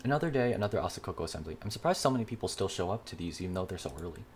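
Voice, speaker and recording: A man reading a visual novel aloud as a player, giving a dramatic, animated narration of the story. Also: deep voice, very fast, a little hiss or hum. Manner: animated, voicing characters. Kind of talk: dramatic read-aloud of game dialogue.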